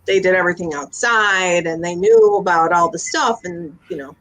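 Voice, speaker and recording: A woman's voice: lowish voice, moderate pace, fairly bright tone, rough recording. A woman in her fifties chatting casually, telling everyday anecdotes in a talkative way. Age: 50s. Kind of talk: casual chat.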